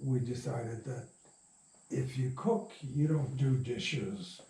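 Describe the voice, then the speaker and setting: A man's voice: medium pitch, dark tone, slight background noise. An older man reminiscing in a casual interview, rambling and often interrupting himself. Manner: halting, rambling, self-interrupting. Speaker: interviewee, radio play writer and producer. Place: room in a house. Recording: roomy echo, distant from mic.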